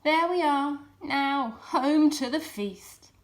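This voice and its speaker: A woman voicing a jaunty, confident male fox leader in a storybook, brisk and rallying. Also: high voice, pitched above the performer's own voice. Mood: pleased.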